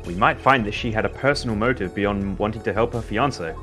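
A man's voice: fairly low pitch, a little fast, a bit bright, soft background music, close to an everyday voice. A man voicing an earnest, determined young male lawyer in a pointed, declamatory way, in a fan dub of a courtroom game.

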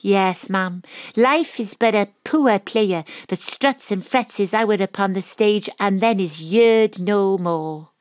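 A woman's voice: medium pitch, takes it slowly, hardly any pauses, no room sound, studio quality, close to an everyday voice. A woman playing a wry, poetic private detective with a Welsh accent, narrating an audio drama in a theatrical, literary way. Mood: affectionate, playful. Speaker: narrator and lead character. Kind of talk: first-person audio drama narration and acting.

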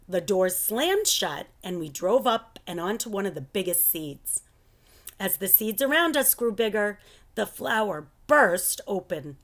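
A middle-aged woman reading a children's picture book aloud in an expressive, deliberate storytelling manner, at home. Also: medium pitch. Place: living room at home.

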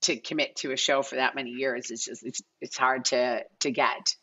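A woman's voice: extremely low pitch, fairly even intonation, moderate pace, sharp tone, slightly rough, highs cut off. A middle-aged woman speaking casually as an interview guest, thinking aloud and repeating phrases as she explains. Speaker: casting director, podcast guest. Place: bright living room at home, indoors.

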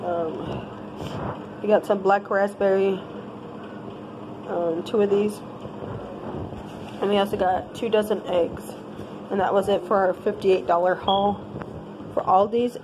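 A woman with a blocked, stuffy nose talks through a casual show-and-tell in a flat, level voice. She speaks on a phone held in her home kitchen, and there is clear hiss and noise under her voice. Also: mid-range voice. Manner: plain listing, unhurried, unscripted. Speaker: family channel host. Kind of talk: grocery haul show-and-tell. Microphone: handheld phone camera. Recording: noisy home phone recording.

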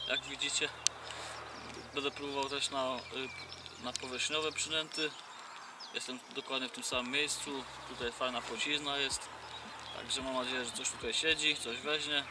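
A man's voice: medium pitch, level tone, moderate pace. A young man chatting casually in a fishing vlog from a boat on a lake, with many hesitation fillers. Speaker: fishing vlogger. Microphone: camera mounted in front of him. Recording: distant mic, loud wind and outdoor noise.

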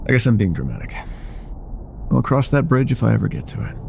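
Man acting a gravelly, tender ex-mafia boyfriend. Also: mid-range voice, big pitch swings, fast, slight background noise. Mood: vulnerable, wistful. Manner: soft, intimate, affectionate, hesitant at times. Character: older ex-mafia boyfriend, tender, teasing, vulnerable bar owner. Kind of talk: intimate boyfriend roleplay ASMR.

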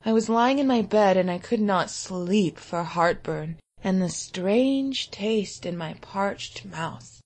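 A woman reading a literary story in the first person, expressive, vivid and deliberate. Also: medium pitch. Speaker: story reader.

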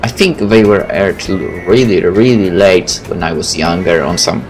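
Low-voiced man doing a game playthrough. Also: measured pace, rough recording. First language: Polish. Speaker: gaming video host. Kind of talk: game let's play, reading text aloud with casual commentary. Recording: faint game music behind.

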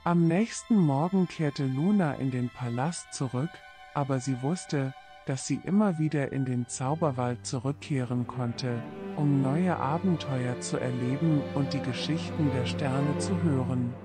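A man's voice: mid-range voice, a little slow, hardly any pauses, dark tone. A man narrating a scripted bedtime fairy tale for children as a storyteller. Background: orchestral string serenade music.

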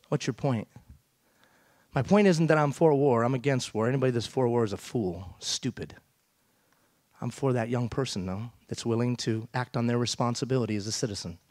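A man's voice: medium pitch, a little mellow, dry recording, clean studio sound. Emphatic man giving a keynote speech. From Iran. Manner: emphatic, rhetorical, asks the audience questions. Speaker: keynote speaker. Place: large theater stage. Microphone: headset mic.

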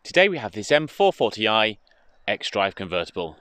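A British man giving an informative, steady walkaround presentation as a car dealership presenter, speaking into a handheld phone outdoors. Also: fairly low pitch, normal speed, clear, resonant tone, no room sound. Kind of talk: walkaround product presentation, semi-improvised commentary. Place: outdoors in a car park, partly inside a car.

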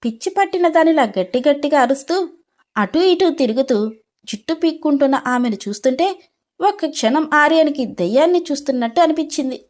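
A female narrator with a distinctly high-pitched voice. She reads a scripted dramatic story as its storyteller. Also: expressive, fairly quick, a little mellow.

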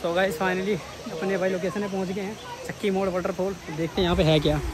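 A high-voiced man with a North Indian accent talks casually to his viewers as he vlogs outdoors. His delivery is colloquial and full of exclamation. Clear street and vehicle noise runs behind him, as on an action camera out on the road. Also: little pitch movement, brisk. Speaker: vlogger. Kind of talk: casual travel vlog narration to viewers. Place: outdoors, much of it on a moving motorbike.

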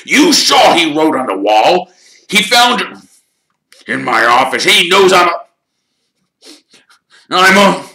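A man's voice: fairly high pitch, big pitch swings, slow pace, long pauses, bright, forceful tone, roomy sound, pitched above the performer's own voice, full character voice. Shrieking, bitter male caretaker. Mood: furious, accusing. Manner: shrieking, spitting, choked accusations. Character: bitter, hysterical male caretaker, grief-stricken and spiteful.

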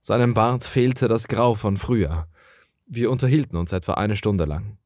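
A man's voice: lowish voice, normal speed, a little mellow, no room sound, clean studio sound. A man reading a scripted first-person horror story as a dramatic narrator.

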